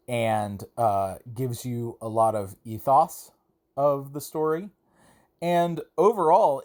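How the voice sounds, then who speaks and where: Man, medium pitch, podcast host, casual monologue, conversational, emphatic, repetition for effect. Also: a little mellow.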